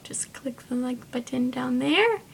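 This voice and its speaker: A woman's voice: lively intonation, unhurried, gravelly. A woman doing an amateur reading of a story, plainly, with the occasional stumble. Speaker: video creator reading own story. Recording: rumbly background noise.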